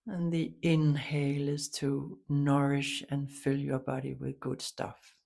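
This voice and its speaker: A woman leading a guided meditation, giving soft instructions in a calm, soothing, unhurried way with long pauses. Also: extremely low pitch, gravelly.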